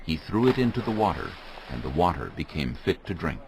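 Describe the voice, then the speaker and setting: A man's voice: a bass voice, sing-song, fairly quick, a little mellow, slight background noise, poor audio. A man narrating scripture as a dramatic story, in a solemn storytelling manner.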